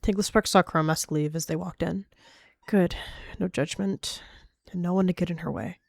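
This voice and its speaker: Slightly low-voiced woman narrating drama. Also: fairly even intonation, normal speed, vocal fry, a little mellow, no echo. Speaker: storyteller. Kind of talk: dramatic third-person story narration.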